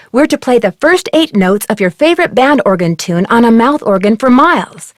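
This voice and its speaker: A woman playing an inquisitive, polite young American detective in a video game, speaking earnestly and clearly. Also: on the high side, dry recording, studio quality, lightly acted. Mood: helpful, earnest. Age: young adult. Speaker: player character in an adventure game. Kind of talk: scripted video game dialogue.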